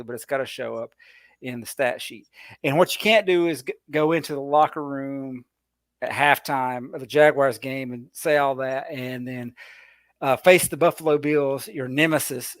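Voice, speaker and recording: A man's voice: mid-range voice, fairly bright tone, no echo. A man giving his take on a casual sports podcast, rambling and restarting his words as he thinks. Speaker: podcast panelist.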